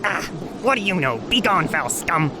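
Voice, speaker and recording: A greedy old holy man of fantasy audio comedy. He is pompous and wheedling, with clear outdoor noise behind him. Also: high voice, big pitch swings, normal speed. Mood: pompous, grasping. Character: pompous, greedy old high priest and coin master.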